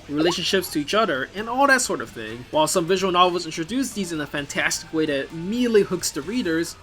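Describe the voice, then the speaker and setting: Animated, higher-pitched man narrating a video essay. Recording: music behind the voice.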